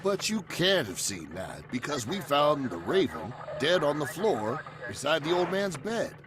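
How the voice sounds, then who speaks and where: A man's voice: fairly high pitch, very animated, measured pace, crowd noise. A man acting a sceptical, reasoning character in a 1940s-style horror radio play. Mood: firm, dismissive. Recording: very noisy, clicking audio.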